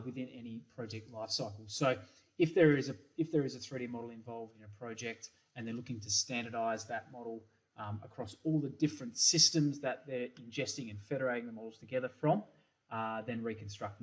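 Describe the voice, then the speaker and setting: A man's voice: medium pitch, steady tone, brisk. A middle-aged man with an Australian accent giving a recorded product presentation from a small room, full of ums and repeated words. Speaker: software company principal presenting. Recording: slightly dull webcam audio.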